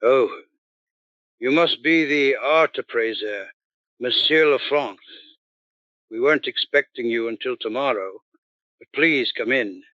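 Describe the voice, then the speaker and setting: Man playing a crisp, deferential butler. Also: mid-range voice, unhurried, long pauses, pushed and bright, no room sound, dull audio. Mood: courteous. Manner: proper, deferential. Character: formal, courteous male butler. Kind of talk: old-time radio drama acting.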